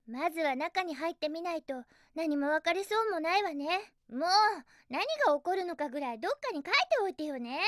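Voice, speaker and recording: A woman's voice: extremely high pitch, dry recording, studio quality. A woman voicing a feisty young female hedgehog heroine in a Japanese video game, with a girlish, emphatic, bossy delivery. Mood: pondering, then annoyed, scolding.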